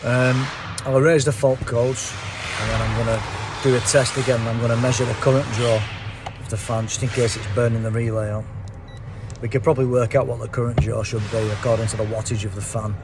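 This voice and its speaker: A middle-aged man, a car mechanic, talking casually while he works through a fault, his voice hoarse and fading, with his phrases trailing off quietly. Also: medium pitch, flat delivery, a little fast. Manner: chatty, thinks aloud, trails off quietly at the end of phrases. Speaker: car mechanic, auto electrician. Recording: noisy workshop with vehicle sounds.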